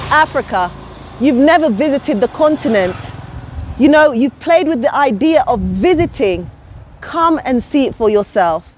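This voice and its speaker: A woman's voice: sits high, a little mellow, no room sound. Chatty woman vlogging on a walk. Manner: chatty, persuasive, addresses viewers as guys. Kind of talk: casual walking vlog. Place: outdoors on a quiet suburban street. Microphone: clip-on lapel mic.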